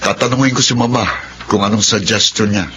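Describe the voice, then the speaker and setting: A man's voice: lowish voice, fairly even intonation, fairly quick, pushed and bright, a little hiss or hum. An actor playing a young husband who leans on his mother, narrating and acting in a radio-style drama. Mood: hesitant. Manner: yielding, hesitant.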